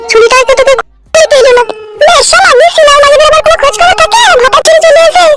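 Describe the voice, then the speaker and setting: A woman voicing a scolding, aggrieved village housewife in a comedy cartoon, with a pitched-up voice. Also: very fast, loud music behind the voice. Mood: scheming.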